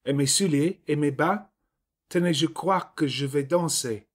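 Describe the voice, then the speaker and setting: A man voicing an excitable, coquettish little French girl in an audiobook, gushing daintily. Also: a little high, normal speed, pitched above the performer's own voice, natural voice. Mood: excited, delighted.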